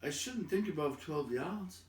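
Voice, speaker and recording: A man voicing a small, sharp-eyed, eager male hobbit in a storybook reading, with a lifted delivery. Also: a little high, animated, measured pace, pitched above the performer's own voice. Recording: roomy echo, distant microphone.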